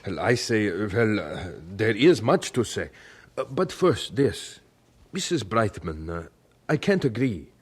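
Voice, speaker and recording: An actor playing a devout young preacher in an audio drama, humble and earnest, with a hesitant, halting delivery. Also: male voice, on the low side, very animated, slightly rough, heavily acted. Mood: flustered, kindly.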